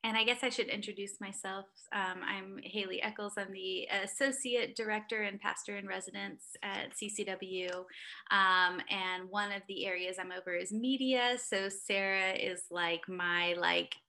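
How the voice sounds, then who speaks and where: A woman's voice: medium pitch, fairly even intonation, moderate pace, pushed and bright. A woman pastor hosting a casual church conversation, upbeat and chatty with many fillers. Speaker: associate director and pastor, host.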